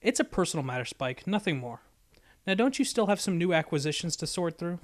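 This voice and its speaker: A man's voice: fairly high pitch, animated, brisk, no room sound, clean studio sound, natural voice. A man voicing a bookish young female unicorn pony in an audiobook, with a precise, lecturing and easily exasperated manner. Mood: curt. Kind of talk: acted audiobook dialogue.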